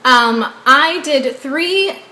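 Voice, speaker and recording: Young Southern woman, bright tone, rambling chatty vlog, echoey room, faint hiss. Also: high voice, expressive, very slow. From Southern United States. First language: not English. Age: 20s. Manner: rambling, upbeat, often asks viewers to guess. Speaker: beauty vlogger.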